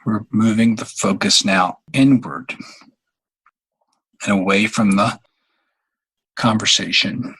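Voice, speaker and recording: Slow, husky man guiding a meditation. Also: on the low side, steady tone, fairly bright tone, rough recording. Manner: halting, unhurried, long pauses. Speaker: meditation guide. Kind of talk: guided meditation.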